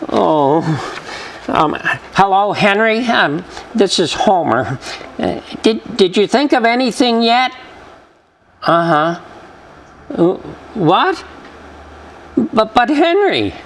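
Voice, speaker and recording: Hesitant, high-voiced teenage boy, noisy recording. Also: sing-song, takes it slowly, pauses often, heavily acted. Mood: flustered. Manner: hesitant, stammering, sheepish. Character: nervous, evasive teenage boy, hesitant boyfriend. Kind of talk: 1940s radio comedy acting. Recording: live acting with clear background noise.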